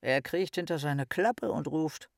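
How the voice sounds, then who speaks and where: An actor playing an eccentric, bookish young philosopher with a wry, self-important theatrical manner in a radio play. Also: male voice, on the high side, measured pace, vocal fry, no room sound, studio quality. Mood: indignant. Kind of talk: dramatic reading of letters in radio play.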